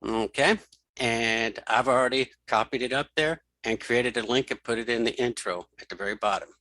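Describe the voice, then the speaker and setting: Male tutorial host, middle-aged, crisp dry mic, bright delivery, informal step-by-step narration that thinks out loud. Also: mid-range voice, steady tone, moderate pace, dry recording, poor audio. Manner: informal, thinking aloud, repeats key steps. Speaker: tutorial host and web developer. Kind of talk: screen reader software tutorial, talking through steps.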